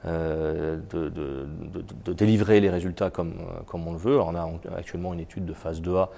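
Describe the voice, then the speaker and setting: A man with a cold, an executive answering interview questions in a halting way with many repeated words and fillers. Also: low-pitched, steady tone, vocal fry, warm tone. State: has a cold. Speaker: biotech company executive.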